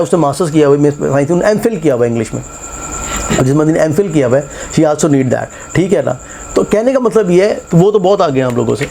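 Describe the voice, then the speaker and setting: A man explains test preparation at a quick clip, asking his own questions and answering them. His voice sits a little high but sounds mellow. A faint hum of noise runs behind him. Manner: animated, mixes English phrases into speech, rhetorical questions. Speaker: English test tutor and institute head. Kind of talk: casual classroom interview and explanation.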